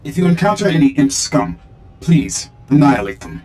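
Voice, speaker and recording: A man's voice: on the high side, sing-song, moderate pace, a little mellow, a little hiss or hum. A man voicing a formal, disdainful military droid in a video game, with stilted robotic phrasing. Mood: clipped, scornful. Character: disdainful, literal-minded military droid, loyal to its maker.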